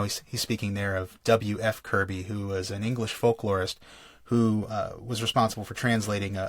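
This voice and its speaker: Man with a low, close, dry voice. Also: no room sound. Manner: thoughtful, reads quotations aloud, frequent restarts. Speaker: podcast host. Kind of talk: solo podcast lecture.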